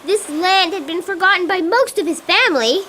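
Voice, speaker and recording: Little girl as a wind spirit telling a radio play story, super high bright voice. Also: normal speed. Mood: storytelling. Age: child, about 10. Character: memory winds, spirit narrating the tale. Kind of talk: school radio drama narration.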